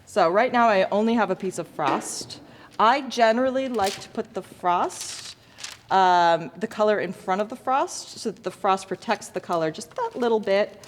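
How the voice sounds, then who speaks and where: A woman's voice: mid-range voice, normal speed. A woman teacher giving an informal hands-on demonstration to students, with self-deprecating, jokey asides. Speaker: lighting design teacher. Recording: echoey hall, noticeable background noise.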